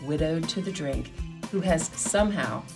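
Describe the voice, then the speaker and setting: A woman presenter reads a scripted introduction to a radio play. Her voice is deep and creaky, with music playing prominently behind her. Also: expressive, moderate pace. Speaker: presenter and cast member.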